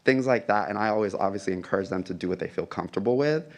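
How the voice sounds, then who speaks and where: A man's voice: low-pitched, very fast. A man asking a conversational question from the audience at a talk.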